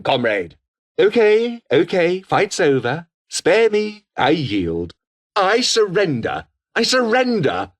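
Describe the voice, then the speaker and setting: A man's voice: fairly high pitch, full character voice. A male actor voicing a menacing, foul-mouthed revolutionary foot soldier with a British accent, taunting and theatrical in video game barks. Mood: alarmed, urgent.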